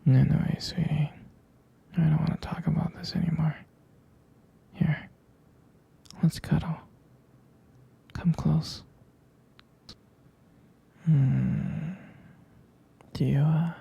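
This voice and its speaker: A man playing a tender, jealous, devoted boyfriend in an intimate ASMR roleplay, speaking haltingly in a breathy, husky whisper. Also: a little high, steady tone, mellow, dull audio, exaggerated voice. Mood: tender, nostalgic. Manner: hesitant, intimate, halting delivery.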